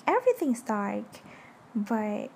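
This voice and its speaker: Young indonesian woman podcasting from bed at night, soft hushed voice, kinda high, faint fan noise behind. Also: big pitch swings, vocal fry. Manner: soft, slow and hushed, lying in bed at midnight. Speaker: podcast host. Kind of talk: casual late-night podcast monologue. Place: dark bedroom at night with an electric fan running. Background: electric fan hum.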